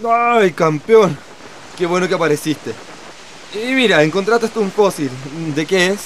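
A man's voice: sits high, expressive, normal speed, a little hiss or hum. An actor playing a good-natured, improvising Chilean family father in a radio drama. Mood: affectionate.

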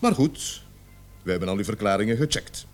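A male actor plays a stern male police officer in a radio drama. He questions people formally and insistently, with authority. His pitch moves in a lively, animated way. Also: brisk, soft background music, close to an everyday voice. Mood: probing. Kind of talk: Dutch radio play acting.

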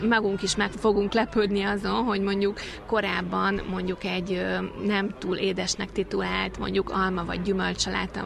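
A woman's voice: medium pitch, gravelly, noisy background. A woman explains things as a dietitian in an interview, in long, detailed sentences with examples.

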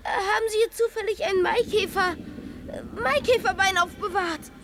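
Excitable, very high-voiced little child. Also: female voice, normal speed. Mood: frightened, polite. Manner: exclamatory, excitable. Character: eager, brave little child, pleading and excitable. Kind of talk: children's radio drama acting.

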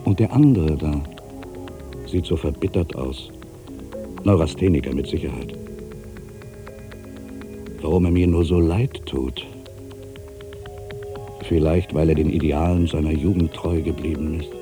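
A man's voice: rumbling low, a little slow, long pauses, over music. A man playing an ageing, solitary writer, proud and wry, brooding through a literary dramatic monologue. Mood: musing, wistful. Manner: brooding, self-questioning, swinging from mutters to outbursts.

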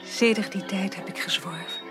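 A woman's voice: mid-range voice, moderate pace, music in the background, close to an everyday voice. An actress playing a melancholy, broken young upper-class woman in a radio drama, formal and resigned, often subdued almost to a whisper. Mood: sorrowful, resigned. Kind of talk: 1980s Dutch radio drama acting.

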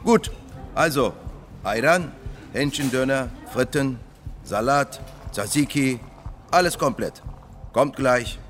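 An actor playing a brisk, obliging and chatty kebab shop worker in a radio drama. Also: male voice, medium pitch, a bit bright, faint music behind. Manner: chatty, service-minded. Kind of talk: German radio drama acting.

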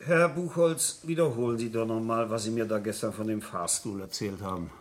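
An actor playing an unhurried, probing police inspector in a 1970s German radio crime drama, insistent and colloquial. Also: male voice, on the low side, lightly acted. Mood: businesslike, pressing. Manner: insistent, colloquial, ends questions with tags.

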